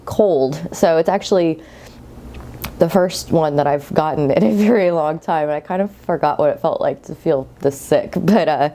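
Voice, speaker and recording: Woman podcast host, low mellow voice, stuffy from a cold, chatty and rambling. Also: moderate pace. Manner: chatty, rambling, friendly asides. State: congested from a cold, sniffly. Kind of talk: casual knitting podcast chat.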